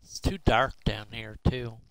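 A man's voice: medium pitch, fairly even intonation, measured pace, fairly bright tone, dry recording, rough recording. A man giving casual gameplay commentary, muttering to himself as he plays a video game. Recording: clear background noise.

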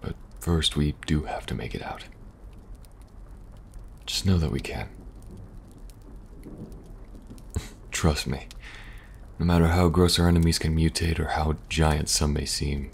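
An extremely low, fry-laden voice from a male actor gives a young male special agent a soft, protective air. He reassures gently and a little hesitantly, with wry humor, in an ASMR audio roleplay. Noisy ambience sits behind him. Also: moderate pace, long pauses. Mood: steady, encouraging. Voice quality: gravelly, breathy at times. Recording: audible background noise, ambience.

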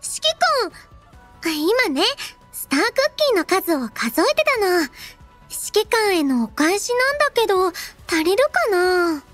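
A woman voicing a cheerful, devoted robot girl in an anime game, childlike and playful, with a cutesy, expressive manner. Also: very high-pitched, measured pace, soft background music, exaggerated voice. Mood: affectionate. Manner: cutesy, refers to herself in third person, expressive. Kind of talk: Japanese anime game character voice lines.

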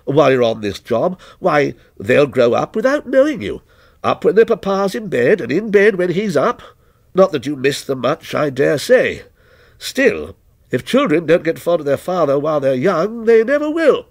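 A cold, insinuating stranger, as a male reader puts him on, pitched well above the narrator. His husky, gravelly delivery sits in a dry, close space, and he slides between sharp highs and lows. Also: very animated, moderate pace, no room sound. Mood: needling. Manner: insinuating, needling questions. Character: aloof scornful man, coldly probing.

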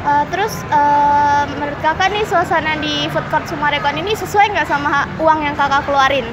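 A woman's voice: sits high, moderate pace. A young woman with an Indonesian accent asking polite, hesitant questions as a student reporter in a mall food court, recorded on a phone. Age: young adult. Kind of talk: street interviews. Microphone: phone held up to speakers. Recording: loud crowd chatter and noise.

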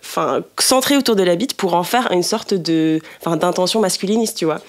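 A woman's voice: on the low side, a little fast. A young woman hosting a podcast in a casual, slangy, rambling and emphatic way. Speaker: podcast host. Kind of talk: casual chat. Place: small indoor podcast studio. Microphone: podcast microphone on a desk stand.

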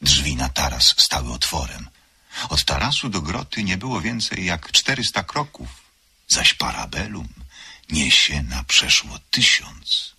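A man narrates an old radio play in a measured, literary manner. His voice is extremely deep and husky, with a bright, forward edge. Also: sing-song. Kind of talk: scripted narration for radio drama.

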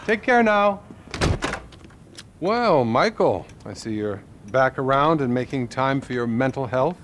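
An actor playing a glib, money-minded middle-aged therapist in a satirical video game cutscene, condescending and falsely soothing. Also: male voice, medium pitch, expressive, a little slow, a little hiss or hum, natural voice. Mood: smug.

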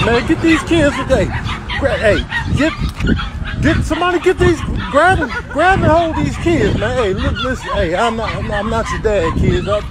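Man voicing a flustered, stammering, high-voiced animal. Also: very noisy. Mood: exasperated. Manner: stammering, repetitive, exasperated. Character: flustered, grumbling animal beset by kids.

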